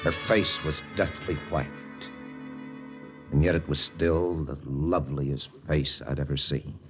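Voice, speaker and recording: An actor with an American accent playing a world-weary intelligence lieutenant in a 1940s radio drama, grave and measured in a confessional way. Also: male voice, very deep voice, flat delivery. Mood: grave, regretful. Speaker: actor narrating and playing the lead. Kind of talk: 1940s radio drama acting, first-person narration. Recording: old radio recording with loud music.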